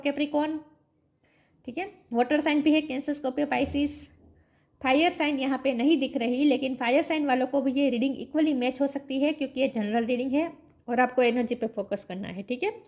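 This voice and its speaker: A woman's voice: high voice, darkish tone. A woman with a sore, coughing throat, giving a tarot reading to her viewers and chanting between card draws. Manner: chants a mantra between card draws, slow repeated questions. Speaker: tarot reader.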